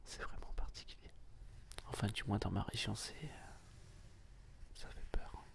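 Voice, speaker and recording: A man speaks in a breathy whisper with a gravelly edge. He chats casually and close, as an ASMR host would, teasing the listener playfully and intimately. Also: fairly low pitch, little pitch movement, moderate pace, fairly bright tone.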